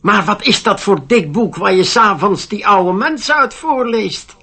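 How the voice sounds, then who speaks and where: Radio drama gnome: tiny, insistent, nagging, slow and piercingly bright. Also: male voice, sits high, animated, gravelly, breathy edge, heavily acted. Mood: needling, reproachful. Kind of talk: radio play acting.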